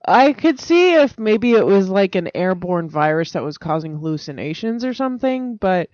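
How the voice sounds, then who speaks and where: A woman speaks as a podcast co-host, moving between reading aloud and casual banter. Her manner is matter-of-fact and questioning, quick to correct. The audio is dry and close, a little dull. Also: mid-range voice, sing-song, a little mellow, no echo. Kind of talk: casual banter and reading odd news items.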